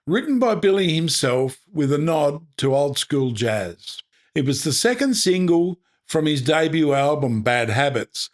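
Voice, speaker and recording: An Australian man presenting music history in upbeat, colloquial scripted narration. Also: a little high. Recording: music playing behind. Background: background music.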